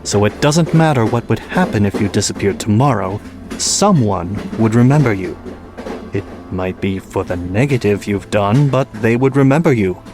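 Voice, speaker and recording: A man's voice: fairly low pitch, moderate pace, lightly acted. Blunt, grumbling train engineer played by a man, music behind. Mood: grim, philosophical. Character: gruff fatalistic male steam train engineer, uneasy about the route. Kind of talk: amateur audio drama acting.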